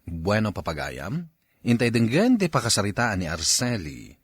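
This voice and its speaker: A man's voice: on the low side, wildly swooping pitch, normal speed, breathy edge, no room sound, studio quality, full character voice. A man with an Ilocano accent acting and narrating as the storyteller of a radio drama. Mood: solemn, presenting. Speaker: radio drama host and actor.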